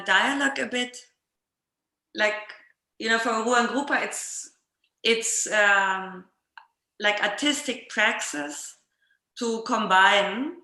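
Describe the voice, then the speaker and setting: A woman interviewer explaining reflectively in conversation, hesitant and often pausing mid-sentence, recorded with roomy echo. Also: mid-range voice, pushed and bright. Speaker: interviewer in an art dialogue. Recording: roomy, echoing sound.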